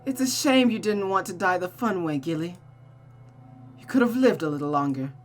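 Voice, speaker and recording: A woman's voice: fairly low pitch, expressive, moderate pace, faint music behind, voice pushed up, lightly acted. A woman voicing a spiteful, unhinged young woman killer from a visual novel, dramatic, pleading and tearful. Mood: spiteful, smug.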